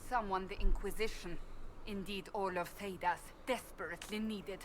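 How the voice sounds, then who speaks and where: A woman's voice: mid-range voice, fairly even intonation, moderate pace, close to an everyday voice. An actress playing a stern, short-tempered female warrior in a fantasy video game, speaking formally and severely. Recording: game audio with faint background noise.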